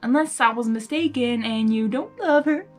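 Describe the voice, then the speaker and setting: A woman's voice: on the high side, normal speed, faint music behind, close to an everyday voice. A woman voicing a blunt, loyal female cowgirl pony in a story reading, commanding and emphatic. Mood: earnest, firm. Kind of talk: audiobook-style character reading.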